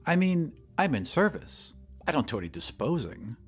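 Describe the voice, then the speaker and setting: Give me a man playing a plain-spoken, flustered woman hostess with a raised, lively voice, in an audio drama. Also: a little high, normal speed, slightly rough, faint music behind. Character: coarse mustached woman gas chamber hostess, humble.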